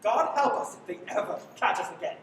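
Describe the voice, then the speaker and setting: A woman's voice: lively intonation, a little fast, natural voice. A young woman playing a brash, sardonic man on the run in a live radio play, bossy and theatrical. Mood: exasperated, earnest. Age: teens. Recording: echoey hall from far off, faint rumble.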